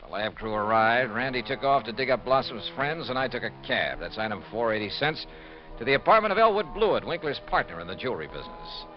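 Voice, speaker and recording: A man acts a shrewd American radio detective, brisk and dryly probing. His delivery is clipped and wry, with widely swinging intonation. It sounds like a dull vintage 1950s broadcast with music underneath. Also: medium pitch, expressive, lightly acted. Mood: dry narration. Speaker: lead actor and narrator of a detective radio series. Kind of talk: 1950s radio detective acting with first-person narration.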